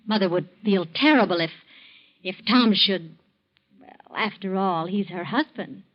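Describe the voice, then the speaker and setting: A cold young schemer played by a radio actress, medium in pitch, who speaks with spiteful calculation and false innocence. It is 1940s radio drama acting, heard on a muffled old recording. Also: female voice, measured pace, natural voice. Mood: coolly insinuating. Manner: cool, insinuating, falsely innocent.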